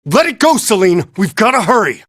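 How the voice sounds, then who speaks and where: An actor playing a gruff, level-headed adult male adventurer in a fantasy video game, with a wry manner. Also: high-pitched, very animated, fairly quick, fairly bright tone, heavily acted. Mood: urgent. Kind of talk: English video game voice acting.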